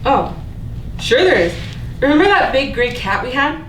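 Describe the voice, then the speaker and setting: A woman playing a bitter, sharp-tongued older sister in a staged play reading, sullen and exasperated. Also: high voice, sing-song, moderate pace. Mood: reassuring. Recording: distant mic, echoey room, faint noise.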